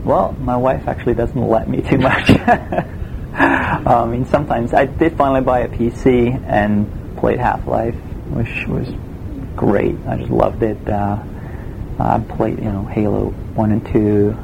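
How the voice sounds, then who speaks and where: A man with a Swiss German accent, a game production designer answering interview questions in a relaxed, hesitant way with many fillers. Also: medium pitch, a little slow, vocal fry, background noise. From Switzerland.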